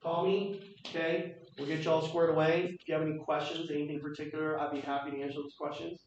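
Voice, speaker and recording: A chatty, upbeat man from South Korea talks to a meeting room, his voice sitting high. He is heard from some distance in a very echoey room, and the sound is a little dull. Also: level tone, quick pace, slight background noise. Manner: chatty and upbeat, with fillers and repeats. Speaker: guest speaker. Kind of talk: casual talk to a team meeting. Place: office meeting room with Zoom audience.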